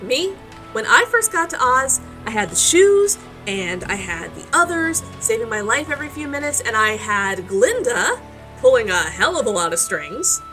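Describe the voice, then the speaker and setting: Wry, animated girl adventurer, bright voice. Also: on the high side, moderate pace, soft background music, poor audio. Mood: earnest, teasing. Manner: wry, earnest, conversational. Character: spirited, wry, warm-hearted girl adventurer. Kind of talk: naturalistic audio drama acting.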